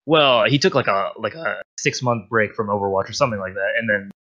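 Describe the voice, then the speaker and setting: Male panelist, quick and offhand, muffled lo-fi mic. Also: fairly low pitch, a bit bright. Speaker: podcast guest panelist. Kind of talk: casual gaming podcast chat. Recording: slightly dull, lo-fi mic.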